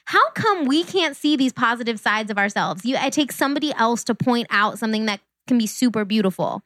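A woman's voice: a little high, lively intonation, fairly quick, a bit bright, no echo, studio quality. Chatty young woman co-hosting a podcast. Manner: chatty, laughs often. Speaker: podcast co-host. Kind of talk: casual chat. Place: near Cleveland, Ohio.

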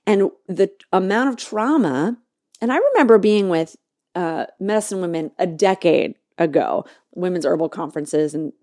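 A podcast host thinks aloud in a low, mellow woman's voice. She gropes for words with fillers and restarts, then slows right down to lean on single phrases. The sound is close and dry, with no room around it. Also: expressive, no echo. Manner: halting, searching, many restarts and fillers; slow, drawn-out emphasis on key words. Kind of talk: reflective spoken monologue.

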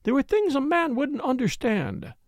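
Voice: sits high, huge pitch swings, moderate pace, no room sound, clean studio sound, voice pushed up. A reader voicing a fussy, tearful lady who talks in a fluttery, rambling, self-pitying way. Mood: aggrieved. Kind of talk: audiobook character reading.

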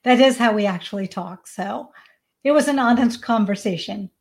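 A woman's voice: a little high, normal speed, a little mellow. A woman in her forties with an American accent and Hindi as her first language, talking conversationally as an interview guest. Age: 40s. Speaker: podcast guest, parenting coach.

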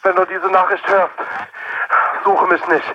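A man's voice: sits high, level tone, a little slow. A man playing an urgent young man sending a warning over a walkie-talkie in an audio drama. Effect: walkie-talkie radio. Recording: muffled, very noisy crackling radio link.

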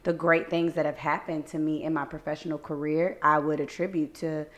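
Slightly hoarse young woman host. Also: low voice, flat delivery, a little fast, fairly bright tone. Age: young adult. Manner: upbeat, laughs easily, reflective. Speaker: podcast co-host. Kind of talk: casual faith podcast chat.

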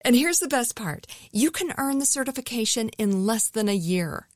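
Ad reader: woman with a slightly high voice, even pace, dry studio sound. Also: normal speed, dry recording. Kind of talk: scripted ad read.

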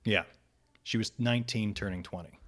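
Profane, animated comedian telling a story. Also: male voice, lowish voice, normal speed. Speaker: comedian guest. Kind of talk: casual storytelling chat.

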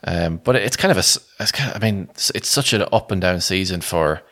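Deep voice guy on a sports pod, chatty, repeats himself to make the point. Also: fairly quick, a bit bright. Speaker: sports podcast panelist. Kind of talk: casual sports chat.

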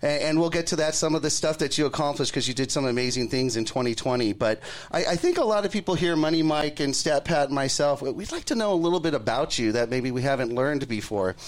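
A man's voice: a little high, expressive, quick pace, few pauses. A man hosting a casual sports podcast, effusive and enthusiastic as he welcomes and interviews a guest. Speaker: podcast host.

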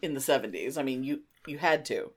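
A woman's voice: a bass voice, a little fast. A middle-aged woman hosting a podcast in casual banter with a friend, emphatic and teasing, given to exclamations. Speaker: podcast host.

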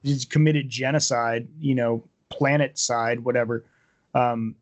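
A man's voice: medium pitch, steady tone, measured pace. A man co-hosting a casual podcast book review, explaining things in a loose conversational way. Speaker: podcast co-host.

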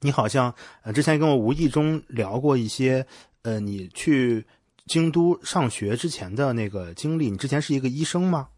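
A man with a mid-pitched voice hosts a podcast interview in a casual, conversational style. The sound is dry, with hardly any room in it. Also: animated, normal speed, darkish tone, no echo.

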